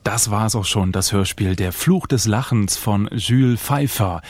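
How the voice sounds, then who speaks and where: A man's voice: on the low side, measured pace, loud music behind the voice. A man reading scripted credits as a radio announcer.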